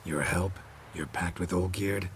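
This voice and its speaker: An actor playing a world-weary, skeptical monster hunter in a fantasy game, terse and sardonic. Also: male voice, low voice, fairly even intonation, a little slow, slight background noise. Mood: dry, guarded. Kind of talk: cinematic fantasy game acting. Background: music.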